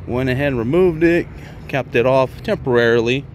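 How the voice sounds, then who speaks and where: A man's voice: medium pitch, moderate pace. A man giving a casual, explanatory on-site walkthrough as a tradesman, in an informal, plain-spoken way. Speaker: HVAC contractor presenting a job. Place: outdoors on a commercial rooftop. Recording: outdoor handheld recording with ambient noise.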